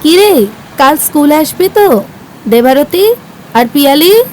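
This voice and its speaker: A high, animated schoolgirl voice played by a woman, kind-hearted and earnest in an amateur audio drama. Faint street noise sits behind the expressive lines. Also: unhurried. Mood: chatty.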